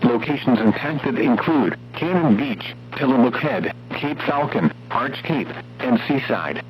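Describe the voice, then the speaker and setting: An American man reads scripted warning bulletins in a formal, impersonal, synthetic-sounding voice of medium pitch. He sounds like an automated weather radio announcer. The broadcast is dull and lo-fi, with a faint layer of noise and hum. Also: lively intonation, unhurried.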